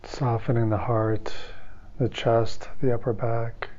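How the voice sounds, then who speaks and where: A man leading a guided meditation as a teacher, giving calm, gentle instructions slowly with long pauses. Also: mid-range voice, lo-fi sound.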